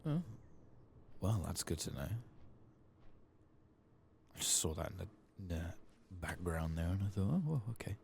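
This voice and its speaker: A man giving soft-spoken, whispered ASMR commentary over a game playthrough, murmuring casually as if to himself. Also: low voice, steady tone, measured pace, gravelly, pauses often, a little hiss or hum. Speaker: gaming ASMR host.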